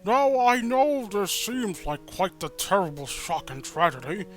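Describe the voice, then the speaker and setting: Man acting a principal in his forties: solemn, formal, halting delivery, fairly high pitch, music behind. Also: big pitch swings. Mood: solemn, reassuring. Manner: slow, halting, formal speechmaking. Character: solemn male school principal in his forties addressing students. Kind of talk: comedic dramatic reading of a story.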